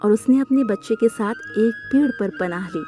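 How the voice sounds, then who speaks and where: A woman narrates a children's cartoon story in a very high voice. She reads from a script as the storyteller, with music playing behind her. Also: moderate pace, warm tone.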